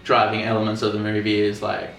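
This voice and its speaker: A man's voice: fairly low pitch, monotone, vocal fry, fairly bright tone. Joking man, roomy distant sound. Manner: joking, quick to laugh. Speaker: film review co-host. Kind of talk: casual chat. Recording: roomy, distant mic, faint music.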